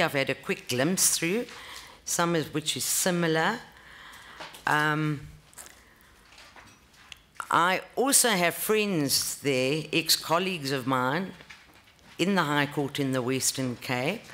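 A middle-aged woman with a South African accent, giving earnest, measured answers at a formal interview, recorded on a table microphone in a large hearing room. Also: deep voice, a bit bright. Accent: South African English. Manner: earnest, measured, with frequent ums. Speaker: judicial candidate being interviewed.

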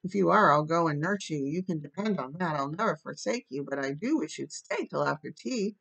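A woman's voice: low voice, lively intonation, a little fast, vocal fry, fairly bright tone, full character voice, low-quality recording. A woman voicing an earnest, dramatic young orphan girl in a storybook, imploring and effusive. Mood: earnest distress.

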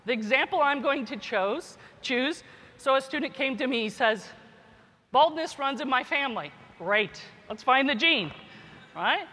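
A woman's voice: sits high, expressive, measured pace, pushed and bright. A woman giving a conference keynote as a scientist and teacher, informal, often adding "right?" and "you know". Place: large conference hall. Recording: echoey hall, long reverb.

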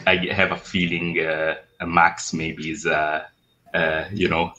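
A man in his thirties with a Swiss Italian accent, a guest chatting in a relaxed, joking way on a video call, with frequent uh fillers. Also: extremely low pitch, takes it slowly, lo-fi sound. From Italian-speaking Switzerland. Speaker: guest animation director. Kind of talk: casual video-call interview. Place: home room, indoors. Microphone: webcam on a video call.

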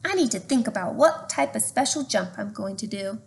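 A woman's voice: fairly high pitch, expressive, moderate pace, creaky. A woman reading a picture book aloud as a young boy who talks brave but is nervous. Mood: hesitant. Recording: noisy home webcam recording.

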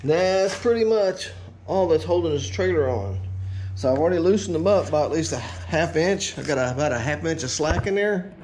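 A man's voice: on the high side, lively intonation, moderate pace, a little mellow. A middle-aged man gives a casual, folksy hands-on how-to, half muttering to himself as he works. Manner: folksy, informal, muttering to himself while working. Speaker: DIY vlogger. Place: indoor home garage workshop. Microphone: action camera, on tripod or handheld, varying distance. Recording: roomy echo, clear noise, handling clicks.

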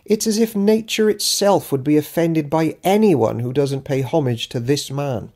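A man's voice: on the high side, expressive, normal speed, a little mellow. A man reading an audiobook as Judas Iscariot, a self-important, grudging disciple keeping a diary, in a dry, theatrical, pompous manner. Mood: sardonic. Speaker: author reading own audiobook. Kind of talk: dramatic audiobook narration of a diary.

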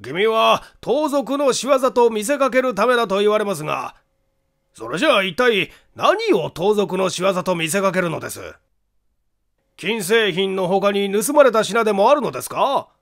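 A doubtful police inspector from a mystery audiobook, acted out by a man. His voice is high and creaky, swinging widely as he probes with startled questions. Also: expressive, moderate pace, fairly bright tone. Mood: probing. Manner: probing, incredulous questioning. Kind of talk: Japanese mystery audiobook acting.

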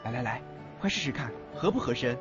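A man's voice: medium pitch, animated, quick pace, lightly acted. A young man playing a caring, playful, coaxing youth in an audio drama. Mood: tender, playful. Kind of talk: Chinese audio drama acting. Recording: dull lo-fi audio with music.

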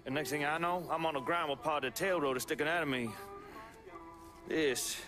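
Casting: man playing easygoing hippie ex-soldier, slightly high voice, folksy, game drama with music underneath. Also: fairly quick, close to an everyday voice. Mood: wry, matter-of-fact. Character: laid-back, wounded hippie male war veteran. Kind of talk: video game drama acting.

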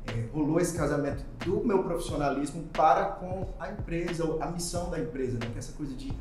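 A man's voice: fairly high pitch, fast talker, a little mellow, a little hiss or hum. A man in his thirties with a Pernambuco accent, a press officer chatting animatedly as an interview guest and laughing often. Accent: Pernambuco Brazilian Portuguese. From Pernambuco, Brazil. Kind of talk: casual interview chat. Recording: very echoey room with music.

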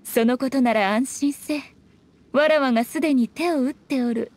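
A woman's voice: sits high, normal speed, darkish tone. A woman voicing a sly, elegant fox shrine maiden in an anime game, speaking in an archaic, playfully haughty way. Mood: composed, assured. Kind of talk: anime video game voice acting.

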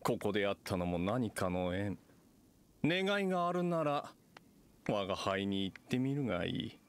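A man's voice: on the low side, big pitch swings, moderate pace, clear, resonant tone. A man playing a pompous fake immortal sage in a theatrical anime game style, with grand archaic phrasing. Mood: grandiose. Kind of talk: Japanese anime game acting.

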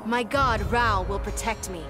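A woman's voice: medium pitch, measured pace, lightly acted. A woman voicing an earnest, hopeful young superheroine in a video game. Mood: faithful resolve. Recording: loud game music behind.

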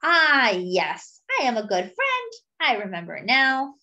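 A woman's voice: on the high side, wildly swooping pitch, slow pace, sharp tone, highs cut off, voice pushed up, heavily acted. A woman voicing a big, forgetful, gullible male elephant for a children's picture book, exclaiming and then hesitantly agreeing. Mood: puzzled.

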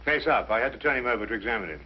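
A man's voice: mid-range voice, expressive, racing, husky. An actor playing a curious, direct male doctor, a detective's companion, in a 1950s mystery drama. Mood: reporting. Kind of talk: 1950s television mystery acting. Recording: dull, muffled 1950s soundtrack.

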